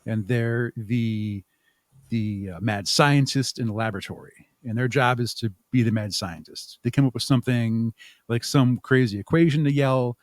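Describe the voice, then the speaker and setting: A man's voice: medium pitch, normal speed, no echo. Man, mid-range voice, casual interview guest, reflective, searching for words, dry sound. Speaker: podcast guest, haunt makeup artist and audio drama creator.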